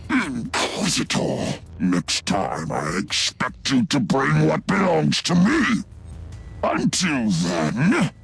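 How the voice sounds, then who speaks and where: Sadistic mad scientist, gravelly creak, huge swooping pitch, gloating, theatrical, video game villain. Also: male voice, huge pitch swings, full character voice. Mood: smug, gloating. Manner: theatrical, gloating, drawn-out menacing delivery.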